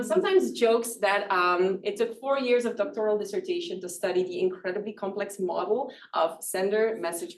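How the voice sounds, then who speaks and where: A woman's voice: on the high side, recorded from a distance. A woman from northern Belgium whose first language is Dutch, giving a public lecture as a professor in a casual, self-deprecating way with many fillers and small laughs. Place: lecture room.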